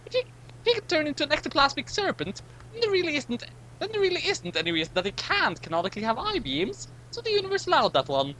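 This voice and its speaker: A man's voice: very high voice, huge pitch swings, fast, bright, forceful tone, a little hiss or hum, voice pushed up, exaggerated voice, rough recording. A man voicing a prissy, vain cosmic being with mock-grand reluctance and an affected, fussy delivery. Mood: indecisive, put-upon.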